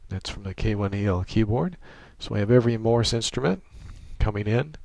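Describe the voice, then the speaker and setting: A man giving an informal, matter-of-fact technical software walkthrough as he demonstrates a setup. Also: on the low side, lively intonation, fairly quick. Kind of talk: informal screen-share tutorial narration. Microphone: desktop microphone monitored through computer audio. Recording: clearly noisy home microphone recording.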